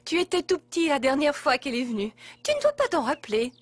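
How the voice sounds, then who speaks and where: A woman's voice: high-pitched, big pitch swings, fast talker, background noise. A woman voicing a fussy, harried but affectionate mother in a children's cartoon, full of exclamations. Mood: flustered. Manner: exclamatory, fussing.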